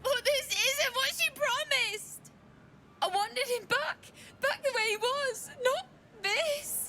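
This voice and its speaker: Distraught young widow in a game, high anguished voice, emotional, some background noise. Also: female voice, slow pace, bright, forceful tone, exaggerated voice. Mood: horrified grief. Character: distraught pregnant young widow, desperate and grieving. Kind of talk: video game voice acting.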